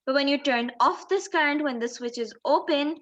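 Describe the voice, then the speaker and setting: A woman's voice: high-pitched, moderate pace, fairly bright tone. A woman teaching in an explanatory tutorial style, going step by step and posing questions as she explains. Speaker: physics teacher. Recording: dull lo-fi microphone sound.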